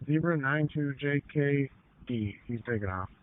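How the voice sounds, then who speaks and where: A man's voice: medium pitch, normal speed. A man working as a field officer, speaking in clipped calls over a two-way radio. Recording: tinny narrowband scanner radio.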